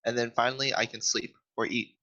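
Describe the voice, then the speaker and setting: A man's voice: mid-range voice, little pitch movement, brisk, pushed and bright, lightly acted. A man playing little storybook pig brothers in an amateur script read over a video call. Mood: sleepy. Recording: muffled video call audio.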